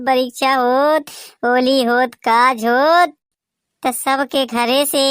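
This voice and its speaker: A man's voice: very high voice, a bit bright, no echo, exaggerated voice. A man with a Bagheli accent voicing a gossipy village man in a comic cartoon, talking in complaining village banter.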